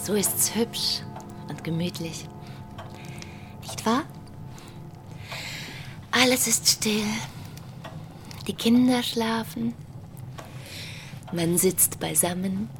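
Needy, slow-speaking young wife. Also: female voice, medium pitch. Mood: coaxing. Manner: coaxing, probing, unhurried. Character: affectionate, jealous, needy wife of a pastor. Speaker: radio drama actress. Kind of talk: naturalistic German radio play acting. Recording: music behind the voice.

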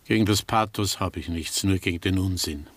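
An actor playing a sardonic, disillusioned doctor in a German radio drama, speaking in a dry, articulate, ironic way. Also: male voice, low voice, fairly quick, no room sound. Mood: dry dissent.

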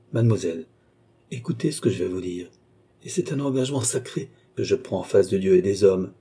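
Man acting a desperate, remorseful young suitor, husky voice. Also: fairly low pitch, dark tone. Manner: pleading, fervent, solemn.

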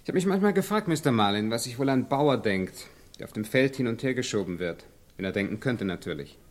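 A man's voice: medium pitch, expressive, close to an everyday voice. Urbane, condescending crime boss played by a man. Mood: suave, musing. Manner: measured, polite, condescending. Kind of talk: noir radio crime drama acting.

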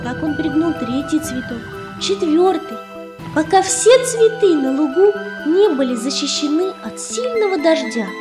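A woman narrating a fairy tale for children in a measured, expressive storytelling manner. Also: high voice. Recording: roomy echo, faint music underneath. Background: faint music.

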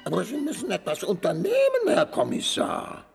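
A man playing a despairing, whiny bankrupt insurance director in a comic children's radio play, wailing in a croaky, husky voice. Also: high-pitched, wildly swooping pitch, over music, full character voice. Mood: desperate, wailing. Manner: wailing, slow lamenting.

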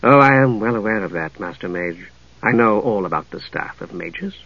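Audiobook role: commanding, educated middle-aged noblewoman warrior, performed by a man, low, hushed, composed, muffled audio. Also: expressive, normal speed, dry recording. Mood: cool, knowing. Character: regal, knowing middle-aged female knight in disguise.

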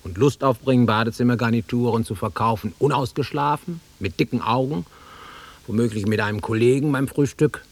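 An actor playing a nostalgic, easily offended middle-aged man with a husky voice in a naturalistic radio drama. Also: mid-range voice, a little fast, natural voice. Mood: insistent. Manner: wistful, insistent, easily offended. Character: nostalgic, touchy middle-aged man revisiting his childhood fields.

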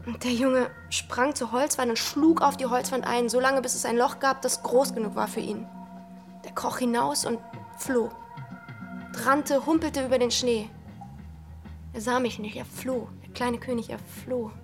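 A woman's voice: on the high side, fairly even intonation, a little slow, over music. A young actress playing a restless, brooding village girl in a radio drama, speaking in intense, fragmented phrases. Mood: dreamy, haunted.

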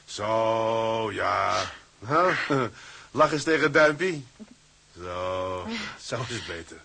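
A man's voice: lowish voice, lively intonation, extremely slow, gravelly, pushed and bright, breathy edge, exaggerated voice. A man playing a proud, blustering young ship's mate and affectionate husband in a radio drama, talking colloquially. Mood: gruff soothing. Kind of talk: Dutch radio drama acting.

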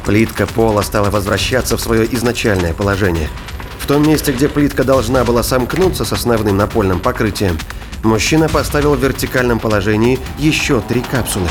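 A man's voice: medium pitch, a little fast. A man giving scripted, dramatic narration for a science fiction audio drama. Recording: studio narration over music. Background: clear music.

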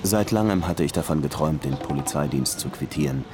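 A man's voice: a bass voice, fairly quick. An actor playing a disillusioned police lieutenant in his thirties who wants to write, brooding and wry, in a radio crime drama. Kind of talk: radio crime drama, first-person narration and dialogue. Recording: music behind the voice.

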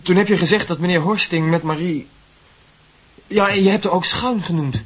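A man's voice: high voice, moderate pace, fairly bright tone, husky. A man playing an earnest, doubting teenage schoolboy in a Dutch radio play, hesitant and searching for his words. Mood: insistent, hesitant.

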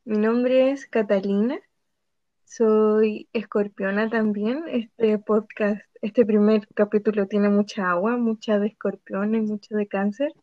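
A Chilean woman speaking calmly and unhurriedly as a podcast guest. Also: medium pitch, measured pace, dry recording. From Puerto Montt, Chile. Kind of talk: casual discussion. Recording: dry, dull lo-fi sound.

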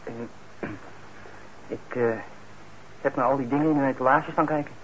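An actor playing an unsure, uneasy young drifter in a radio play, speaking haltingly. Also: male voice, mid-range voice, fairly even intonation, natural voice. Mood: nervous. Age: young adult. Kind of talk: Dutch radio play acting. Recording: dull, noisy old radio recording.

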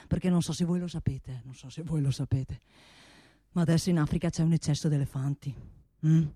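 Radio theatre: blustering, restless male industrialist, soft dark tone, dry close sound, bossy and repetitive. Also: low voice, steady tone, brisk, breathy edge, no echo. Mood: boastful. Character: blustering wealthy male gun-factory boss, provincial, restless. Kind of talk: solo stage monologue for radio theatre.

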